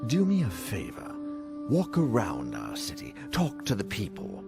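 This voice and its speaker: A man voicing a formal noble lord of a frontier town in a video game, with a measured, probing manner. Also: fairly low pitch, huge pitch swings, vocal fry, over music, full character voice. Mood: grave, courteous. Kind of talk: video game cutscene acting.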